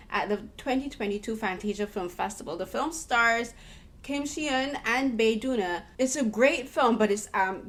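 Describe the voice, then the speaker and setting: A young woman from Barbados, hosting a film interview in an earnest, thoughtful way, often restarting her sentences. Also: mid-range voice. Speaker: podcast host and interviewer. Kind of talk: casual conversational film interview.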